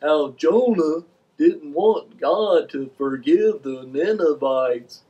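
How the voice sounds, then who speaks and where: A man's voice: a little high, expressive, slow, warm tone, dull audio. A man voicing a curious, simple talking fish puppet with a drawling manner for a children's puppet show. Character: slow, mellow, curious talking fish puppet named Fred.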